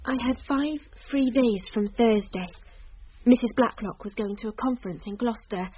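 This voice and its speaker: An actress playing a defensive young woman in a radio drama, British, quiet and reticent in how she speaks. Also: fairly high pitch, normal speed, breathy edge, muffled recording. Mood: guarded. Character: guarded, defensive young woman under questioning.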